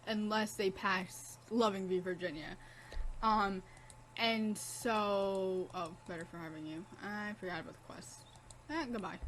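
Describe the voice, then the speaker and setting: A woman's voice: medium pitch, fairly bright tone, a little hiss or hum, poor audio. Blunt, swearing young woman streamer. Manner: blunt, profane and impassioned. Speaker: game streamer. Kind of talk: casual gameplay commentary.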